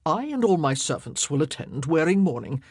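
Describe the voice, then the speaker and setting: Lady reading as a sulky king, cold and defensive, husky voice, dry close mic. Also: low-pitched, very animated, normal speed, darkish tone, no room sound, exaggerated voice. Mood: regal. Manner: soothing then icy, self-pitying. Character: self-pitying middle-aged king, defensive and cold.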